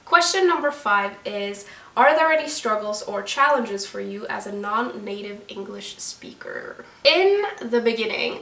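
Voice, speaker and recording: Bright-voiced Norwegian woman in a roomy space. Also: mid-range voice. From Norway. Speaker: book vlogger. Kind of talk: scripted chat to camera. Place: room with candles and plants. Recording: roomy echo, voice a little distant.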